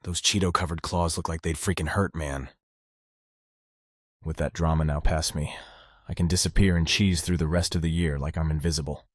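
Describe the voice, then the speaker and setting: A man playing a cynical, self-deprecating high school student, narrating a visual novel in sardonic, muttering asides. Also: steady tone, moderate pace, husky, dry recording, clean studio sound. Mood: wry, self-indulgent. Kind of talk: first-person scripted narration, visual novel dub.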